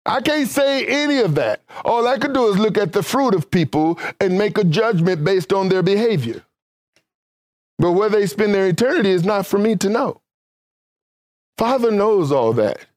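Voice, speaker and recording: A male preacher with a notably high voice, delivering a sermon in fervent waves that climb and then drop back down. His talk stays casual and conversational, and the sound is close and dry with no room echo. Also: lively intonation, moderate pace, darkish tone. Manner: fervent, rising and falling preaching cadence.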